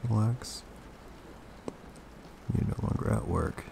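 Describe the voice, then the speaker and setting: A man speaking softly in an intimate, soothing ASMR boyfriend roleplay, murmuring in a comforting, gently teasing way. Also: slow. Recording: close recording with clear background noise.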